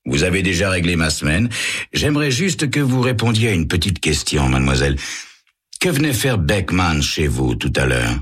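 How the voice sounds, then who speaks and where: A man playing a calm, husky private detective. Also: sits low, exaggerated voice. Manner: measured, courteous. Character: composed male private detective, calm and polite.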